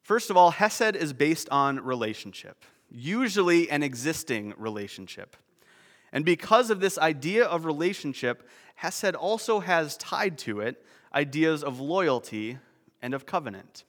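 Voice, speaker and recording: A man's voice: fairly high pitch, very animated, a little slow, fairly bright tone. Man preaching in a reverberant hall. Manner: self-deprecating, nerdy asides. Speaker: pastor. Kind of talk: sermon.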